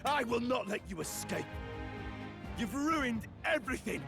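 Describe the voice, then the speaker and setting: A bitter, pompous churchman of the warrior monks in a fantasy game. He declaims slowly and vengefully in a creaky, very high voice, with music behind. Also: expressive, heavily acted. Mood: furious, condemning.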